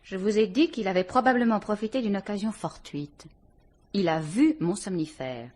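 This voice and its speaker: An actress playing a guarded widow suspected of murder, answering a police inspector with cool sarcasm in a vintage radio drama. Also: female voice, mid-range voice, normal speed, darkish tone, natural voice.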